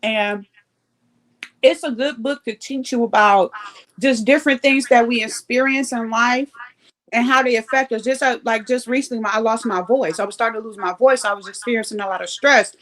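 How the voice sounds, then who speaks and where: A woman's voice: a little high, moderate pace, fairly bright tone, slight background noise, low-quality recording. A woman talking earnestly and reflectively as a livestream guest. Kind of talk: casual livestream chat.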